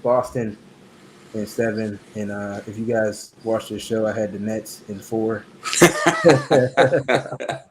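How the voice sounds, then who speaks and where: A man hosting a casual chat about sports and faith, talking in halting bursts with many fillers and restarts. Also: fairly low pitch, a little hiss or hum, poor audio. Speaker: podcast host.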